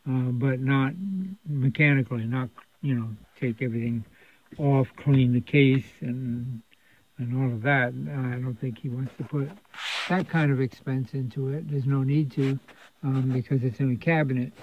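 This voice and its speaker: A man's voice: medium pitch, flat delivery, normal speed, a little hiss or hum. A man giving a casual, unscripted workbench narration as a repair technician, explaining in a rambling, conversational way. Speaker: radio repair technician presenting a repair video. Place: indoor electronics repair workshop. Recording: thin phone-like sound.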